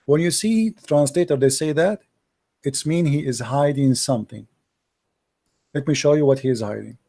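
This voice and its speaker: Brief: male debate host, warm low-key tone, lecturing a caller. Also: normal speed. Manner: questioning, explains patiently, reads passages aloud. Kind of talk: live call-in debate, reading texts aloud.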